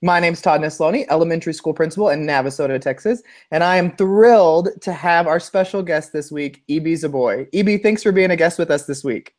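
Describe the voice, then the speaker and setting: American male podcast co-host, very quick talker, enthusiastic and casual. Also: fairly high pitch, a little mellow. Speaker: podcast co-host, elementary school principal.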